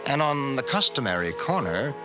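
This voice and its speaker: Casting: male narrator for vintage sci-fi radio drama, ominous, animated intonation over prominent music. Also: medium pitch, normal speed, close to an everyday voice. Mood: dramatic, suspenseful. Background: loud dramatic music.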